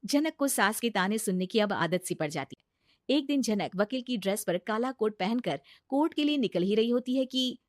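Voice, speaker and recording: A woman's voice: on the high side, animated, moderate pace, dry recording, studio quality. A woman narrating a scripted family story as its storyteller.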